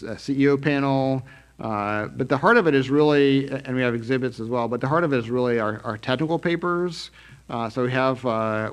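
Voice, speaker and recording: A middle-aged man giving an unscripted press briefing from slides at a trade expo, halting often with fillers and restarts. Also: medium pitch. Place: conference room at a trade expo.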